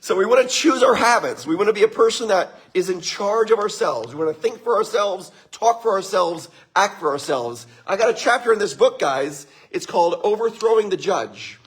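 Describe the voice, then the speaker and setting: Upbeat husky man giving a motivational talk. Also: high voice, lively intonation, normal speed, few pauses. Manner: upbeat, greets viewers by name, laughs often. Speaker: motivational speaker. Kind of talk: live-streamed motivational talk.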